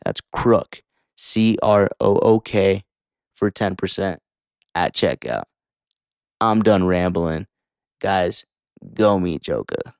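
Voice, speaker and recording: A man's voice: sits low, animated, slow pace, gravelly, long pauses, darkish tone, clean tone. A man hosting a podcast in casual, hyped, slangy talk with some swearing, a native English speaker. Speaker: podcast host.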